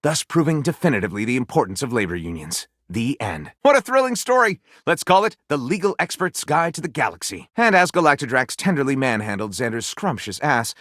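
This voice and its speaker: Theatrical storyteller with swooping delivery. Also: male voice, mid-range voice, very animated, brisk, hardly any pauses, fairly bright tone, no room sound, studio quality. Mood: gushing, excited. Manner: theatrical, mock-grandiose, sardonic. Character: theatrical male storyteller, wry, gushing and snide critic. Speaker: voice actor. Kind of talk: dramatic storybook narration for a video game.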